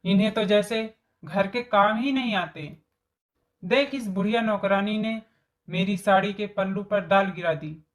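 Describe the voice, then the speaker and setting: A haughty young woman in a storybook drama, acted by a female narrator. She complains with disdain at an unhurried pace. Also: medium pitch, lightly acted. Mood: disdainful.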